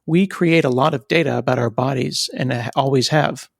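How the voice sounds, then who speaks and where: A middle-aged man hosting a podcast interview, talking in a relaxed, thoughtful way with occasional restarts. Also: medium pitch, moderate pace, gravelly, no room sound, clean studio sound. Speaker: podcast host. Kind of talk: conversational interview.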